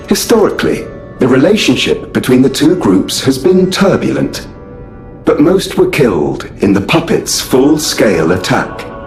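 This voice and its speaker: A courteous puppet butler speaks with formal precision and a very lively lilt. The game voice rings in an echoey room over faint music. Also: male voice, medium pitch. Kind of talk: game character exposition.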